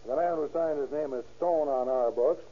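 A man playing a meticulous police handwriting expert in vintage radio drama. He has a slightly high voice and a matter-of-fact, respectful manner, heard through a tinny old recording. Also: normal speed, warm tone, natural voice. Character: precise, deferential male handwriting expert reporting to police. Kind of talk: 1930s-40s radio crime drama acting.

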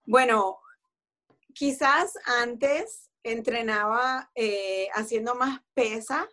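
Lady in her forties, kinda high voice, easygoing, lots of "entonces". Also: drawn-out words. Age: 40s. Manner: relaxed, explanatory, many fillers and "entonces". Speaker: guest. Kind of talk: casual conversation about fitness.

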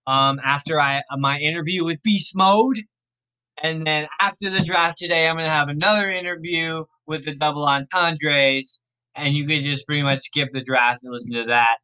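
Man, podcast host, slightly high voice, bright and forceful, a bit raspy, rambling casual talk. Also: low-quality recording. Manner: rambling, laid-back, self-correcting. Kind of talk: casual thinking aloud during a fantasy draft.

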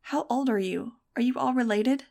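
A woman's voice: a little high, normal speed, creaky, darkish tone, pitched above the performer's own voice. A woman voicing pushy, inquisitive press reporters in an audiobook, calling out prying questions.

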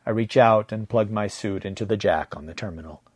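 Male narrator for sci-fi audiobook: low husky voice, measured and dramatic, very dry recording. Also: no echo. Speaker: fiction narrator. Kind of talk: first-person audiobook narration of a science fiction story.

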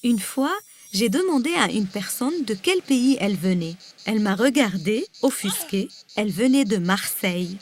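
A woman's voice: medium pitch, expressive, normal speed. A woman from Lebanon, a native Arabic speaker with a Lebanese accent and a rolled R, reading a personal essay aloud. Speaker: guest reader.

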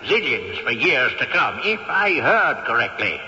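A man's voice: medium pitch, very animated, measured pace, sharp tone, in a large hall, exaggerated voice. An actor playing a cantankerous, haughty old male ghost who drives a hard bargain, in a radio drama. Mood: scheming. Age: older. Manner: haughty, indignant, grandly formal. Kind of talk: 1970s radio drama acting. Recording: dull old radio recording with faint hiss.

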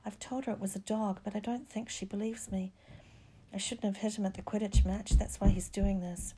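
A woman reading a story as an anxious, unwell schoolboy with a hoarse, breathy voice. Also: mid-range voice, flat delivery, a little fast, mellow, slight background noise, lightly acted. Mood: miserable. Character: anxious, sickly schoolboy, easily panicked.

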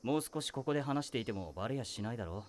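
An actor voicing a weary male high-school teacher who talks in a dry, wry, world-weary way. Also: animated, racing, darkish tone, a little hiss or hum, natural voice. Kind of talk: voice drama acting.

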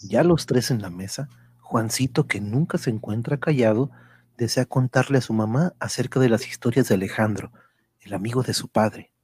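A man's voice: medium pitch, moderate pace, a little mellow, breathy edge, no echo, close to an everyday voice. A man reading scripted narration for a radio drama.

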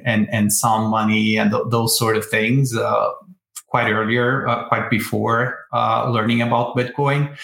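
A man's voice: mid-range voice, fairly bright tone. A man from Brazil with a Brazilian accent, speaking conversationally as an expert guest in a panel interview. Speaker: guest, research director at an asset manager. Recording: roomy echo.

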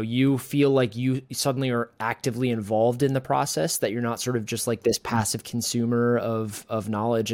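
A man hosting an interview, thinking aloud in long, loose sentences full of "like" and "you know.". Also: medium pitch. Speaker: podcast host. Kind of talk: casual interview talk.

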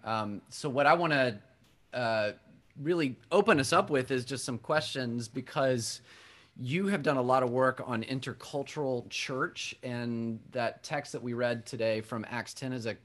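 A man's voice: medium pitch, a bit bright. A man who is a pastor, reading scripture and then earnestly welcoming a guest into a conversation during a church service. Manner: earnest, heartfelt, with some restarts. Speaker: Presbyterian pastor and podcaster hosting a conversation. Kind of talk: worship-service dialogue, welcoming and introducing a guest.

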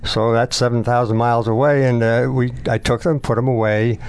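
A man in his nineties, a war veteran, recalling memories in an interview in a halting, reflective way. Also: mid-range voice, level tone, clear, resonant tone, dry recording. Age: 90s.

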